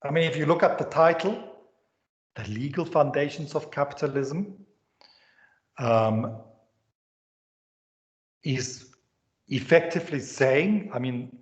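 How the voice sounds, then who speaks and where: A man with a Swiss accent lectures students in a meandering way, circling back and restarting his phrases. His voice sits a bit high. The recording is echoey and muffled, as from a lecture hall. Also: slow pace, long pauses. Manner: rambling, repeats words and restarts often. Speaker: university lecturer. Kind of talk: economics lecture. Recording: echoey lecture room, dull lo-fi sound.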